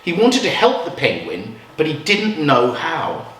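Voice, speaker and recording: A man reads a children's picture book aloud. His delivery is slow and very animated, with expressive swings and dramatic pauses. The recording is echoey, as in a live room. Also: a little high, slight background noise. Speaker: children's storytime reader. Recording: roomy echo, distant from mic.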